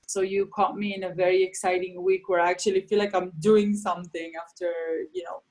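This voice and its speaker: A woman's voice: mid-range voice, fairly quick, poor audio. A young woman, a comedian whose first language is Farsi, talking casually in an interview with many ums and restarts. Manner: animated, many fillers and restarts. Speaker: comedian guest.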